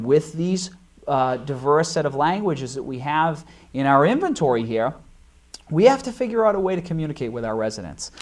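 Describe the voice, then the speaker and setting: A middle-aged man with a Boston accent hosting a local talk show, chatty and full of fillers and restarts. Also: a little high. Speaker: host of local cable show.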